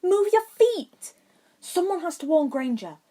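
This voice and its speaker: A woman's voice: very high voice, very animated, a little slow, warm tone, pitched above the performer's own voice, full character voice. Woman playing a stubborn, fiery young boy wizard. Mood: urgent, angry. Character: stubborn, fiery schoolboy wizard, urgent and brave.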